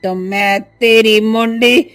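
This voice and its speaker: A woman acts out a murderous village witch from a cartoon horror tale. The words come very slowly in a high female voice, drawn out with threat, over faint music. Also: steady tone, a bit bright. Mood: threatening. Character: menacing female witch who lures and kills villagers.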